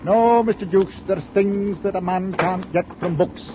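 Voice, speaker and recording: An actor playing a stubborn, plain-spoken old ship captain in a 1940s radio drama, terse and pompous. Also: male voice, high voice, a little slow. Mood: stubborn, commanding. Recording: muffled noisy 1940s radio recording.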